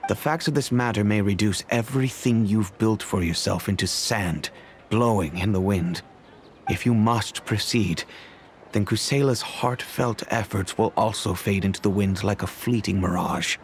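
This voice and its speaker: An actor playing a grave older patriarch of a wealthy family, speaking in a measured, solemn storytelling manner. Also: male voice, lowish voice, a little hiss or hum, natural voice. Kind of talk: video game voice acting.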